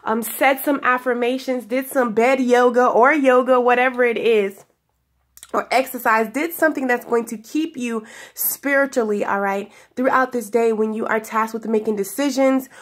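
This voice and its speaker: A woman's voice: fairly high pitch, fairly even intonation. A young woman with a stuffy voice from sinus trouble, giving a spiritual daily message to her viewers in a giggly, affectionate way, drawing out her words. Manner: affectionate, giggly, drawn-out phrases. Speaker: spiritual guidance vlogger. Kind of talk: casual spiritual talk to viewers. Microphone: camera in front of her.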